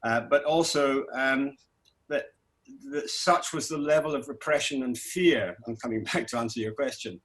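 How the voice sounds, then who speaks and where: A middle-aged man who grew up in Scotland, a documentary director answering festival questions, talking in a halting way with many restarts. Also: medium pitch, fairly bright tone, low-quality recording. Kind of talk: festival Q&A interview.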